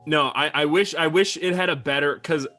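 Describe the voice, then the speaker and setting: A man's voice: fairly high pitch, normal speed, bright, forceful tone, soft background music. A young man in casual podcast banter, teasing and often restarting his sentences. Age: young adult. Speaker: podcast guest.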